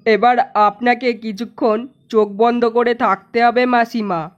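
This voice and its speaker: A woman's voice: on the high side, fairly even intonation, measured pace, voice pushed down, close to an everyday voice. A woman voicing a greedy, scheming male village doctor who talks with false politeness. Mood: smooth. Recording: dull lo-fi narration track.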